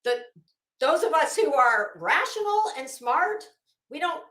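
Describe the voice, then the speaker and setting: An American woman commentator with a high, highly animated voice, speaking in a roomy, echoing space. She delivers dramatic readings and casual commentary slowly and emphatically, drawing out phrases and breaking off for pointed asides. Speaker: commentary show host.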